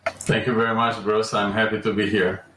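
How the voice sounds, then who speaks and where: A man from Romania, a podcast guest speaking slowly with frequent ums and uhs. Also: fairly low pitch, flat delivery, a bit bright, slight background noise. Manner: measured and slow, many ums and uhs. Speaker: guest, alternative medicine practitioner. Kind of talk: podcast interview. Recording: echoey room, distant mic.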